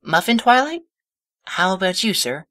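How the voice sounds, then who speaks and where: A man voicing a timid, sweet young female cartoon pony, soft-spoken and friendly. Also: high-pitched, sing-song, moderate pace, no echo, studio quality, voice pushed up, heavily acted. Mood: shy.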